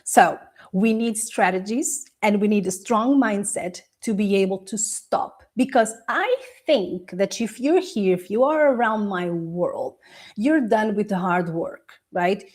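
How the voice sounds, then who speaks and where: A woman whose first language is Portuguese, a coach hosting a casual live video, talking warmly to viewers, laughing often and pausing to sip tea. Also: mid-range voice. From Portuguese Angolan. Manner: chatty and encouraging, laughs often, sips tea.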